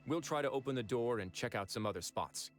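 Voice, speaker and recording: A man voicing a composed, calculating male warden in a game who speaks in a measured, reflective way. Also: mid-range voice, expressive, natural voice. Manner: measured, reflective, explains reasoning at length. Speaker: game character. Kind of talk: game voice acting. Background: soft game music.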